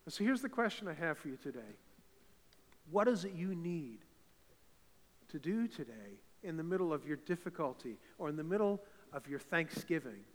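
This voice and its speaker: A fervent male pastor from eastern Canada preaches with a big, slightly high voice, and his words ring out through a reverberant church hall. He swells from conversational talk to loud, emphatic peaks. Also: sing-song, normal speed. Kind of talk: sermon. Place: church sanctuary.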